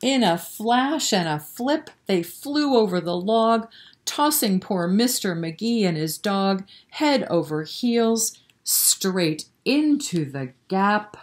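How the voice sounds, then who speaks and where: A woman reading a picture book aloud to children in an expressive way, pausing to explain and prompt her young listeners. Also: mid-range voice. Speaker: children's storyteller at a library.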